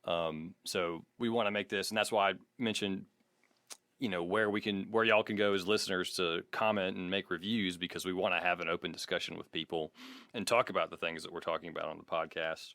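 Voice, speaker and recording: A man with a fairly low voice talks casually as a podcast co-host. The sound is dry, with very little room around him. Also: fairly even intonation, a little fast, sharp tone, no room sound. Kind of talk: casual conversational podcast talk.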